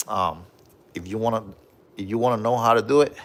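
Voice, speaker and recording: Very deep-voiced Puerto Rican man streaming. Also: fairly quick. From Puerto Rico. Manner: relaxed, repeats "bro" and "okay?", many fillers. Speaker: livestream host showing his gun collection. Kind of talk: casual livestream chat reading viewer comments.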